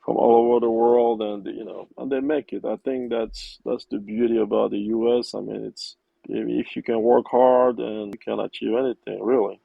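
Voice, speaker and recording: A middle-aged man from Cameroon whose first language is French, talking as a podcast guest in a relaxed way with lots of fillers and restarts. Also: medium pitch, normal speed, gravelly, no echo, phone-quality sound. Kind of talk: casual interview conversation.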